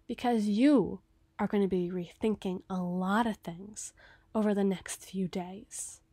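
A woman playing a cruel, possessive evil queen in an ASMR roleplay, haughty and theatrical, with deliberate menace. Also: medium pitch, dark tone, no room sound, lightly acted. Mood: coolly flirtatious.

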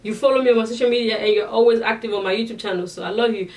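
A woman's voice: on the high side, steady tone, rapid-fire, darkish tone, recorded from a distance. A young woman with an accent chatting to her viewers and answering their questions, with frequent restarts. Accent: non-native English accent. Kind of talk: casual Q&A vlog. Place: indoors, in front of a sequined backdrop.

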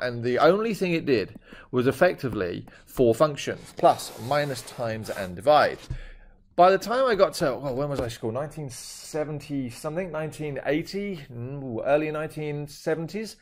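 Jokey British maths teacher, slightly high voice. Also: male voice. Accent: British, shifting toward Australian. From Britain. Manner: jokey, chatty asides to viewers. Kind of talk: screen-recorded maths lesson.

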